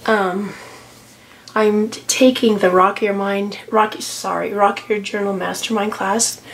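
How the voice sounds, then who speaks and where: A middle-aged woman with a hoarse voice from a cold, chatting casually as she walks through her journal pages, with lots of ums and restarts. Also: medium pitch, little pitch movement, takes it slowly, a little hiss or hum. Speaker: journaling vlogger. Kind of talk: casual tutorial walkthrough. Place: home desk, camera over a tablet.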